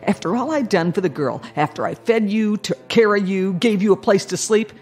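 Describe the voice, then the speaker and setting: An actress playing a bitter, snappish aunt in a radio drama, scornful and rough in her speech. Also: medium pitch, animated, normal speed, a little mellow, soft background music, close to an everyday voice. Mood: angry, scolding. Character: harsh, bitter, scolding working-class aunt and guardian.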